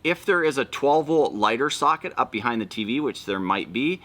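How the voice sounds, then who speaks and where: A man's voice: medium pitch, animated, moderate pace, a bit bright. A man hosting a casual product walkthrough, explaining things informally and step by step. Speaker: video host.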